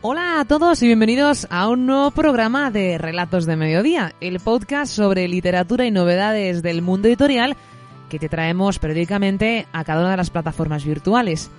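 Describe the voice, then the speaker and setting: A woman presenting a literary podcast in an expressive, effusive, semi-scripted way. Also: mid-range voice, fairly quick, faint music behind.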